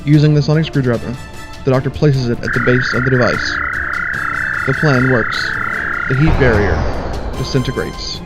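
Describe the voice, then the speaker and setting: Plain steady male narrator. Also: medium pitch, normal speed, pauses often. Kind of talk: scripted narration. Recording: slightly muffled, over music.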